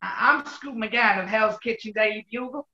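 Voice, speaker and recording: A woman's voice: a little high, normal speed, sharp tone, muffled recording. A woman playing an earnest, cocky but nervy male tabloid reporter, hesitant yet insistent, in a 1940s radio comedy.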